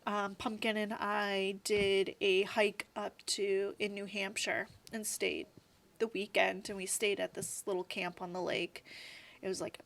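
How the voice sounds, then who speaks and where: A woman's voice: mid-range voice, flat delivery, a little slow, vocal fry, fairly bright tone. A woman in her thirties, a guest in a casual interview, talking in a chatty, rambling way full of "like" and "you know". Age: 30s.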